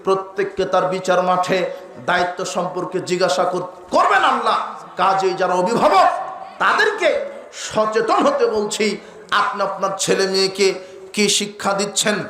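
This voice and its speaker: A male Islamic preacher with a Bangladeshi accent delivers a fiery sermon in a high, piercing voice. He exhorts his listeners with emphatic, repeated phrases and a sharp, tense edge. The sound is strongly echoey. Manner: forceful, exhorting, emphatic repetition. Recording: echoey sound with faint background noise.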